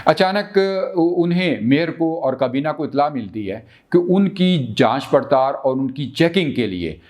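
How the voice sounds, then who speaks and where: A man with a Pakistani accent speaks to viewers as a political analyst and show host, deliberately and with frequent drawn-out hesitations. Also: a little high, animated, darkish tone. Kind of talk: political commentary and storytelling to viewers.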